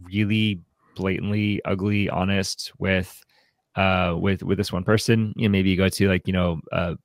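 Male podcast co-host, low register, reflective and halting book discussion, very dry recording. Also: little pitch movement, no room sound. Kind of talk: conversational book commentary.

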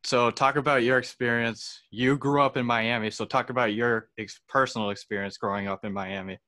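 A man's voice: mid-range voice, steady tone, fairly quick, bright, forceful tone. A young man hosting a casual interview, talking informally with easy slang. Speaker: interviewer, host.

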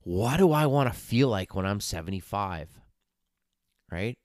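A man in his forties with a very low, smooth, resonant voice, talking as a fitness coach on his own podcast. He is earnest and encouraging, with plenty of fillers and restarts. The recording is dry and close. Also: expressive, measured pace, dry recording. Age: 40s. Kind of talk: casual solo podcast talk.

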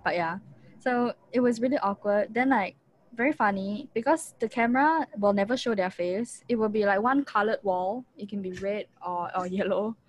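A young woman with a Singaporean accent, co-hosting a casual podcast, chatty and quick to laugh, dropping Singlish particles. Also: mid-range voice, a little hiss or hum. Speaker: podcast co-host. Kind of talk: casual chat.

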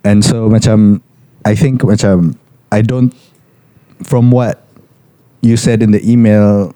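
A low, very dark-toned man with a Malaysian accent chats on a podcast, reading listener emails aloud with a joking edge and slipping into Malay words. The sound is dry and close. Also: steady tone, slow pace, dry recording. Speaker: podcast co-host.

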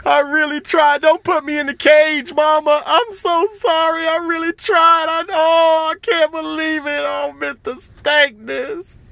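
A man's voice: very high voice, flat delivery, measured pace, vocal fry, few pauses, bright, forceful tone, no echo, voice pushed up, exaggerated voice. A man voicing a little boy who is crying and pleading with his mother. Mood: sobbing. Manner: wailing, pleading. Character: little boy sobbing in apology.

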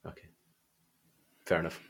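A man hosting a podcast, chatting casually about books in a halting way, often repeating and correcting himself. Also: a bit bright. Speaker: podcast host. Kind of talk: casual book chat.

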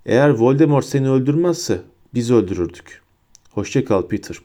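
A man voicing a calm, grave adult wizard who speaks quietly and evenly. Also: mid-range voice, close to an everyday voice. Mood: cold. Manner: quiet, measured.

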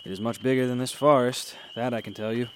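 An actor playing a confident, philosophical mentor in a radio drama, with a teasing, cryptic manner. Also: male voice, mid-range voice, fairly even intonation, fast talker, a little hiss or hum, lightly acted. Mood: calm, cryptic. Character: cryptic, wry, confident male adventurer and mentor. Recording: music behind the voice.

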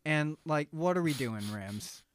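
A man's voice: fairly high pitch, expressive, a little slow, no echo. A man co-hosting a casual chat, making wry, exasperated remarks. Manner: wry, exasperated remarks, often laughing along. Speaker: podcast co-host.

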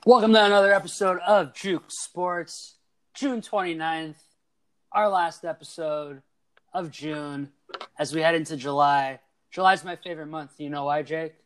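A young man in his twenties hosts a sports podcast. His voice sits a touch high, and he talks in a lively, teasing way, full of quick asides. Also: clean tone. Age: 20s. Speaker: podcast host. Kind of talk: casual sports podcast chat.

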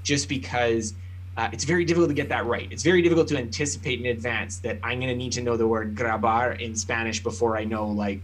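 A man's voice: medium pitch, steady tone, fast talker, rough recording. A man, an author and podcast guest, explaining ideas in a thoughtful way with plenty of examples. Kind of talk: explanatory interview talk.

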